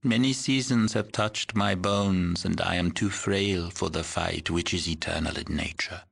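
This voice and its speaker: A man's voice: deep voice, gravelly, fairly bright tone, slightly rough, full character voice. An actor playing a frail old village shaman in a video game, mystical and solemn, speaking in ceremonious, cryptic riddles. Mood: solemn, benevolent. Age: older. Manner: slow, ceremonious, cryptic, archaic phrasing. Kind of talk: scripted video game voice acting.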